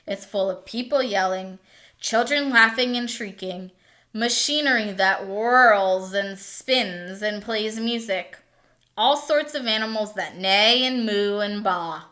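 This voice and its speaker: A world-weary, scornful male hamster from a children's storybook, acted out by a woman. He grumbles through his tale at a slow, deliberate pace, in a bright, clear-cut tone. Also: mid-range voice. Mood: weary, indignant.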